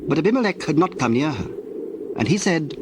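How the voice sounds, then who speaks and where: A man narrating scripture from a script in a solemn, measured manner. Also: a little high, very animated, slightly rough.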